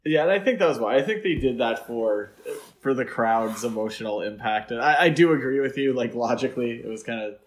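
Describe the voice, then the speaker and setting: A man's voice: medium pitch, lively intonation, brisk. A man co-hosting a podcast, discussing films casually in a conversational way and often chuckling. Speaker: podcast co-host.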